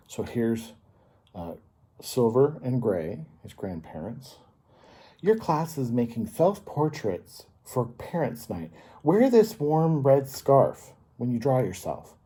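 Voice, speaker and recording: A man reading a picture book aloud to children as a story time host, pausing to explain and ask simple questions in a teacherly way. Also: mid-range voice, warm tone, slightly rough.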